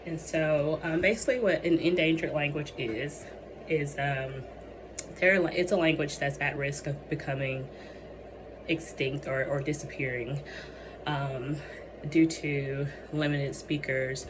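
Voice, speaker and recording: A young woman gives a student presentation in a low, deep-set voice that is going hoarse. She hesitates often, filling pauses with ums and restarting her sentences. A steady hiss of background noise sits under the recording. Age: young adult. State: losing her voice. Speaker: student presenting a capstone project. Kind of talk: presentation with many fillers. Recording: noisy background ambience.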